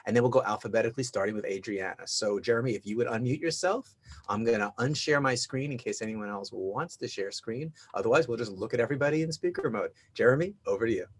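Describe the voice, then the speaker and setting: A man emceeing a networking video call in a casual, conversational way. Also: medium pitch. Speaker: host of a networking event.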